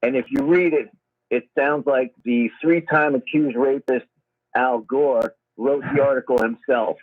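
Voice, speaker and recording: A man's voice: mid-range voice, fairly even intonation, unhurried. A man phoning in to a radio show to give his remarks. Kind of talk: call-in remarks. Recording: narrow phone line over AM radio.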